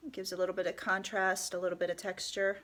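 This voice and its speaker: A woman with a stuffy nose from a cold, calmly talking through a step-by-step tutorial and often dropping to a soft whisper. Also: mid-range voice. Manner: calm, unhurried, often drops to a soft whisper. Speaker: head wrap tutorial host.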